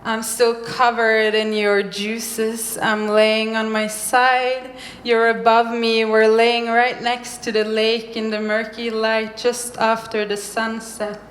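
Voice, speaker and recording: A young woman from Norway, performing spoken-word poetry on a mic at a live venue, with slow, deliberate pauses. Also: fairly high pitch, little pitch movement, slight background noise. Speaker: poet. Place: live event venue, indoors. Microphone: stage microphone. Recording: echoey room, faint ambience.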